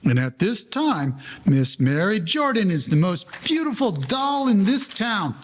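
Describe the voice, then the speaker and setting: Man as a high-voiced, slow wry narrator. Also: big pitch swings. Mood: wry storytelling. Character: wry storytelling narrator of a Broadway gangster tale. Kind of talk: scripted dramatic narration.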